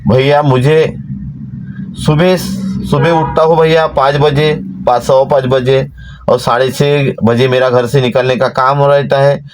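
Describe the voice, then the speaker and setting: A man, preaching earnestly to his followers. His voice sits in a mid range and climbs higher when he presses a point home. He talks from inside a parked van. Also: level tone, measured pace, a little hiss or hum, low-quality recording. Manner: earnest, exhorting, rises in pitch to stress points. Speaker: devotional channel host. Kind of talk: informal devotional talk to followers.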